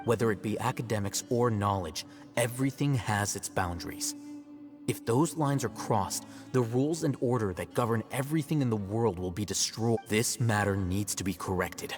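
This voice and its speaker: A man playing an aloof, self-assured young scholar in a video game, measured, articulate and slightly smug. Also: on the low side, big-room sound, faint music behind, natural voice. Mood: firm, detached.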